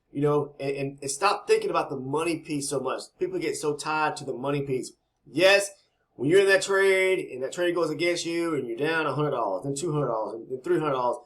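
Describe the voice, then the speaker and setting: A man with a fairly high, slightly raspy voice gives a casual, rambling talk on trading. He repeats words and restarts often, and checks in with "okay?" as he goes. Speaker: trading educator. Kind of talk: casual talking-head explainer.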